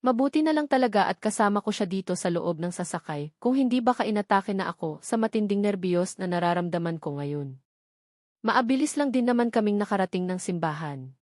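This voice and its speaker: A woman with a Filipino accent narrates a romance novel in the first person. Her pitch sits in the middle range for a woman. The recording is dry, with very little room around the voice. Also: a little mellow, dry recording. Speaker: audiobook narrator.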